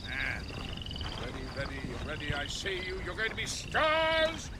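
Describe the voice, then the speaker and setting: A flamboyant, swooping high-voiced showman. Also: huge pitch swings, a little slow, heavily acted. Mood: excited, fussing. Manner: grandiose, exclaiming, fussing. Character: flamboyant, excitable male showman and early film director. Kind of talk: video game cutscene acting. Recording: loud outdoor noise behind voice.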